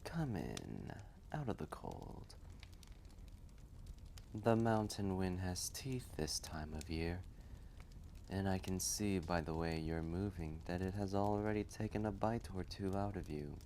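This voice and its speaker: A man acts out an ancient male dragon, gentle and wise, in a storytelling roleplay. The voice is low and very slow, often sinking into a breathy hush, with a kindly, measured manner. A faint noise sits behind it. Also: animated. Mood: gently concerned.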